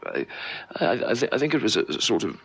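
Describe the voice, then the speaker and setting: An actor playing a shaken, apologetic young newspaper reporter in a radio drama, speaking haltingly with stammered repeats. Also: male voice, fairly low pitch, big pitch swings, creaky, husky, heavily acted. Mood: unsure, apologetic. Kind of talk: 1970s radio drama acting.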